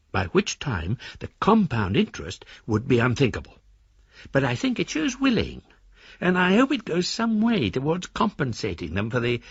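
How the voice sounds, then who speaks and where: A man's voice: medium pitch, creaky, husky, dry recording, lo-fi sound, exaggerated voice. An actor playing an older maverick, wryly courteous and pedantic, reading his letter aloud in a radio drama. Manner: pedantic, mischievously formal, genteel. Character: Julius Hutch, elderly eccentric maverick, wryly courteous letter writer.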